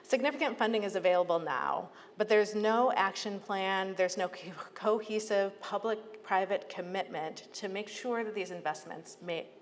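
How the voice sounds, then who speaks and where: A woman's voice: medium pitch, normal speed, few pauses. An American woman giving an earnest, persuasive prepared speech as a public agency leader, with emphatic delivery. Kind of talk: prepared advocacy speech to a conference. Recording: echoey hall, clear background noise.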